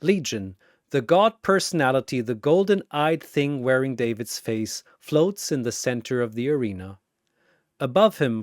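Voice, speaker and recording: A man narrating a dramatic battle story in a theatrical, measured way, with pauses between short phrases. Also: mid-range voice, dry recording, clean studio sound.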